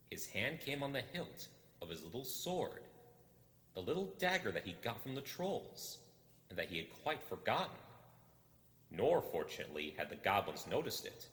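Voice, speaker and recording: A deep-voiced man narrates a tale with a lively, swinging intonation. His delivery is measured and dramatic, pausing deliberately between phrases. The sound is roomy, with a long reverberant tail. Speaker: audiobook reader. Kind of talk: expressive storybook narration.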